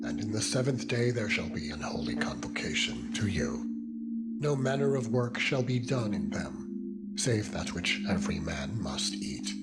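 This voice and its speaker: Slow-paced man reading scripture over loud music. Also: mid-range voice. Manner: measured, deliberate phrasing. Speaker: scripture narrator. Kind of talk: solemn dramatized Bible reading. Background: loud meditative music with ringing tones.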